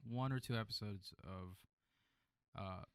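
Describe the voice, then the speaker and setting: A man's voice: fairly low pitch, unhurried, smooth, no room sound, studio quality. A man co-hosting a film review podcast in loose, informal conversation. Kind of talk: casual chat, movie review discussion.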